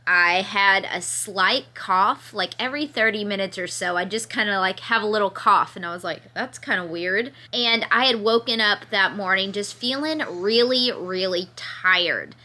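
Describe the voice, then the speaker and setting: A woman's voice: medium pitch, normal speed, hardly any pauses, bright, forceful tone. A young woman, sick with a fever and a little congested, chatting to the camera from bed at home and laughing through her complaints. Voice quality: occasional cough, slightly stuffy nose. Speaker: vlogger. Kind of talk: casual chat to camera. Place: in bed at home, bundled in a sweatshirt.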